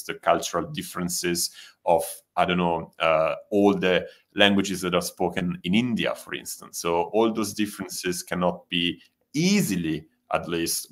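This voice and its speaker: A man's voice: low voice, a bit bright. A man whose first language is Italian, speaking as a panelist in a discussion, thoughtful and hesitant with frequent restarts.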